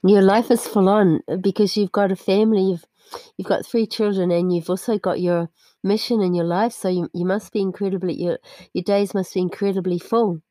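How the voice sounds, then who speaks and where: A woman from New Zealand with a Kiwi accent, hosting an interview and encouraging her guest with quick affirmations. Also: mid-range voice, fairly even intonation, warm tone, no echo. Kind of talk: casual interview chat.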